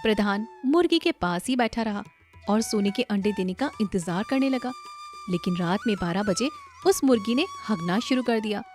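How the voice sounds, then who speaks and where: A woman's voice: medium pitch, big pitch swings, moderate pace, a little mellow, soft background music. A woman narrating a scripted children's story.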